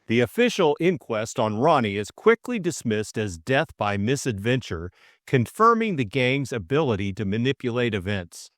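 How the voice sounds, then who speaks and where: A man's voice: mid-range voice, very animated, normal speed, no room sound, studio quality. A man reading a scripted story recap and novel as an audiobook co-narrator, in dramatic style.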